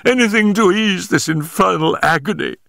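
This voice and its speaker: A man's voice: high voice, normal speed, vocal fry, a bit bright, no echo, clean studio sound, pitched above the performer's own voice. A man voicing an injured man in agony, gasping and begging desperately in an audiobook story. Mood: agonised, desperate.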